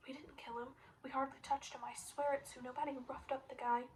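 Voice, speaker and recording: A woman's voice: sits high, little pitch movement, a little fast, far from the mic, slight background noise. A woman reading the lines of a panicked teenage boy who pleads urgently and insistently.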